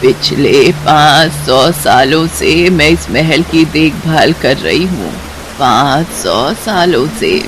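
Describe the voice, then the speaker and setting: A woman voicing an eerie, centuries-old ghostly caretaker, speaking slowly and mysteriously in a strange, menacing voice for a horror story. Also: low-pitched, animated, noisy background. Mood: mysterious, ominous. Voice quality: strange, otherworldly voice. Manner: slow, drawn-out, mysterious.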